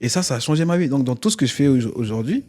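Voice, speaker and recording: A man's voice: medium pitch, racing. A man whose first language is not French, telling stories in a casual podcast studio interview and animatedly acting out the people he quotes. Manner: animated, acts out quoted voices, constant "tu vois". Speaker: interview guest, stage performer. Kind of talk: casual storytelling conversation.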